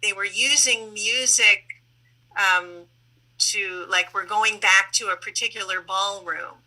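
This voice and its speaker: Very bright-voiced woman moderating. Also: medium pitch, steady tone, slow, low-quality recording. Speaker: interviewer, panel moderator. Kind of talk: conversational interview questions.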